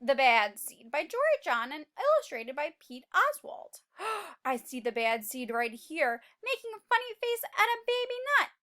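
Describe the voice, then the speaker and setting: A woman's voice: sits high, sing-song, moderate pace, bright, forceful tone. A woman reading a picture book aloud to young children as a teacher, expressively, asking questions and explaining simply.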